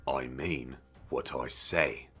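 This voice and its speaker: A man playing a haughty, gruff fantasy village mayor who talks in a formal, condescending way in an audio drama. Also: extremely low pitch, fairly even intonation, slow pace, pushed and bright, soft background music, exaggerated voice. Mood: irritated, defensive. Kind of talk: fantasy audio roleplay acting.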